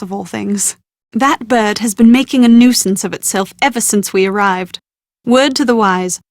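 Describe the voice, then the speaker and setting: An actress as a young British woman in an adventure game, high-voiced and posh. She is chatty and easily exasperated, with an anxious streak. Her wordy, expressive lines come through close and dry. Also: no echo, natural voice. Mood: wry, brisk. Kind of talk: scripted video game character dialogue.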